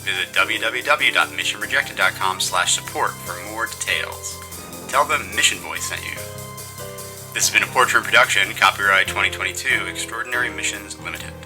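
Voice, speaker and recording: A man's voice: fairly low pitch, normal speed. A man working as an announcer, reading scripted show credits. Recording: faint music behind.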